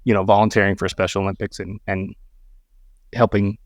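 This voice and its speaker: A man's voice: on the low side, flat delivery, a little fast, no echo. Casual, profane middle-aged man, dry recording. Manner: profane, repeats words, self-deprecating. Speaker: podcast guest. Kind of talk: casual banter and storytelling.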